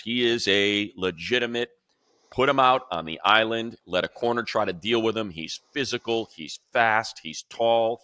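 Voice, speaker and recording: A male radio play-by-play announcer in casual sports talk, animated and talking in quick bursts with restarts. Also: fairly low pitch, no room sound, studio quality. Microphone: video call.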